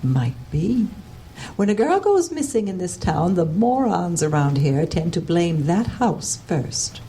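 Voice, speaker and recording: A woman's voice: fairly low pitch, big pitch swings, a little slow, dark tone, a little hiss or hum. A woman playing a prickly, condescending, no-nonsense researcher in an audio drama, with a haughty, dismissive manner. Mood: guarded, haughty.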